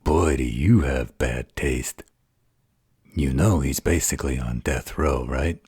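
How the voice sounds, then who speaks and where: A man's voice: rumbling low, slow pace, darkish tone, husky, dry recording, studio quality, exaggerated voice. A man playing a snarky, distrustful supernatural fighter in an audio roleplay, with a mocking drawl. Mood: suspicious.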